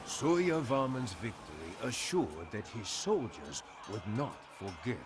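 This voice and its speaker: Solemn, slow-spoken Khmer general. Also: male voice, lowish voice, very animated, background noise. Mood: reflective, wry. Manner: grave, measured, formal storytelling. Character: honored old-world Khmer general, solemn, weathered commander. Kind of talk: dramatic scripted game narration.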